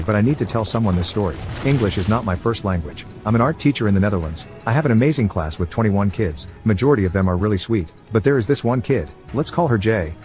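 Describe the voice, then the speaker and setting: A man reading an online post aloud as a narrator, in an even read-aloud delivery. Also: fairly low pitch. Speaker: story narrator.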